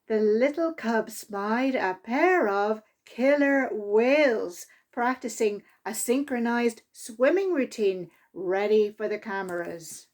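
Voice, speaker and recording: A woman reading a picture book aloud to young children in an animated, expressive storytime manner. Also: fairly high pitch, takes it slowly, few pauses. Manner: expressive, with exclaimed sound effects and asides to listeners. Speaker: library storytime reader.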